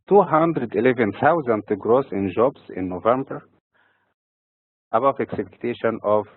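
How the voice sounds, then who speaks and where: A man from Egypt hosting a weekly financial market update, reading dates and figures in a steady, measured way. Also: lowish voice, dry recording. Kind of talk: scripted market commentary. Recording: dry, phone-like narrow sound.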